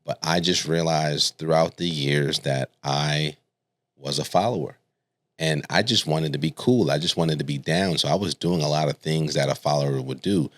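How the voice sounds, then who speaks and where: A man from Connecticut speaks as a podcast guest in a very low voice. The sound is close and dry. He tells personal stories in a casual, colloquial way, often checking in with his listener. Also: normal speed, dry recording. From Stamford, Connecticut, United States. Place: studio.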